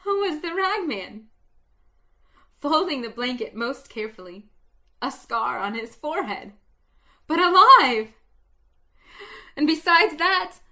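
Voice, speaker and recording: A woman narrates a story in an unhurried, deliberate reading. Her voice is bright and forward, and her telling is expressive, swelling into fervent emotion. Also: high voice. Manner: slow, expressive, building to exultant emotion.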